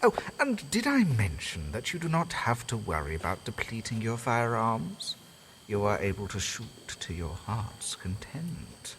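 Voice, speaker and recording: An actor playing a theatrical, courtly host who runs a haunted asylum in an audio drama, speaking in a grand, sweeping manner. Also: male voice, fairly low pitch, huge pitch swings, a little slow, a bit bright, slight background noise, exaggerated voice, rough recording. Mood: instructive. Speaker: asylum owner character. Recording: faint music underneath.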